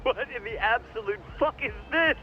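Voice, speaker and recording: An actor playing an amused, mocking friend in a video game cutscene, in dull, limited sound. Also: male voice, very high voice, moderate pace, slight background noise.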